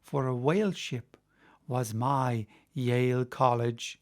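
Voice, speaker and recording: A man reading a classic novel aloud in an expressive, emphatic, oratorical way. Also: medium pitch, slow. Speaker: audiobook reader.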